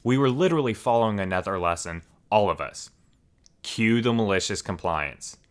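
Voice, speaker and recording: A man hosting a story channel, reading forum posts aloud in a steady, even storytelling manner. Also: fairly low pitch, normal speed.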